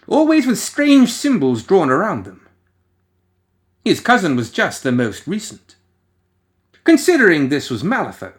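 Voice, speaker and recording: A man reading a story as a dramatic narrator, musing theatrically with deliberate pauses and rhetorical asides. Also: a little high. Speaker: audio fiction reader.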